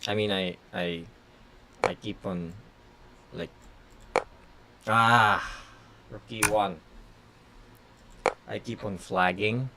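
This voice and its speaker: A chess grandmaster whose first language is Tagalog, streaming blitz games and thinking aloud in short, halting phrases full of fillers. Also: male voice, on the low side, fairly even intonation, vocal fry, noisy background. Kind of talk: casual live-stream commentary.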